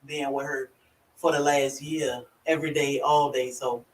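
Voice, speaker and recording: A woman with a rural Virginia accent hosting a casual livestream, chatty and quick to laugh as she greets viewers by name. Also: very deep voice, flat delivery, slight background noise. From Virginia, USA. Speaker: livestream host. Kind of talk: casual live chat. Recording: echoey room, far from the mic.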